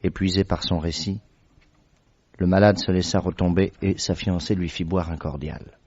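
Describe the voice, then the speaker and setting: A man narrating a radio drama in a measured, steady way as the detective's companion. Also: deep voice, breathy edge. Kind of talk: scripted narration. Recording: dull vintage radio recording.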